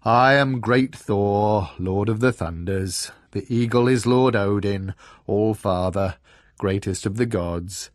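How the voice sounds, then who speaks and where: A man voicing a gloomy, pompous bear with a heavy, rumbling voice in a storybook reading. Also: slow, dry recording, studio quality. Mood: pompous, muddled. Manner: ponderous, blustering. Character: grumbling, gloomy, pompous bear, a thunder god in disguise.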